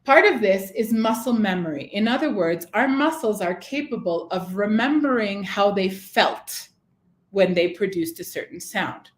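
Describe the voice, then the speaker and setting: A woman leads a live webinar for teachers over a computer mic. She pitches her voice in a middle register, and the audio carries a roomy echo. She keeps the audience engaged with questions and frequent "right?" check-ins. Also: lively intonation, normal speed. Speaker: teacher trainer presenting a webinar. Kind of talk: live online teaching presentation.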